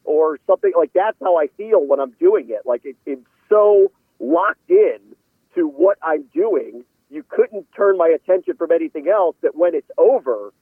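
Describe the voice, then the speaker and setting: A man's voice: fairly high pitch, lively intonation, moderate pace, husky, no room sound. A man speaking as a guest sports announcer in a casual radio interview, over a thin phone-like line.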